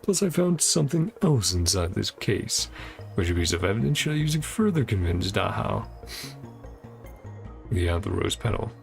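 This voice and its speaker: A man with a heavily creaky voice streams a game, reading the dialogue aloud. His pitch moves around a lot as he slips between reading and casual muttered commentary. Game music plays behind him. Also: big pitch swings, normal speed. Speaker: streamer playing and reacting to a game.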